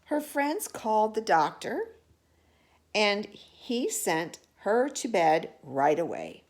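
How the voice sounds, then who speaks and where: A woman storyteller reading a children's picture book aloud expressively, pausing to ask the listener questions. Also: medium pitch, sing-song.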